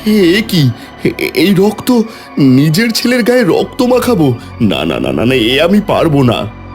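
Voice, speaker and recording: Man acting a frightened father, animated and anguished. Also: fairly high pitch, measured pace, soft background music, voice pushed up, full character voice. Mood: horrified. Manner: anguished, hesitant, stammering. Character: frightened, remorseful father, a wealthy householder. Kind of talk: dramatic cartoon story acting.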